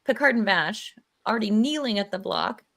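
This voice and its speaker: A woman reading stage directions aloud in a casual, lively live table read. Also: mid-range voice, normal speed.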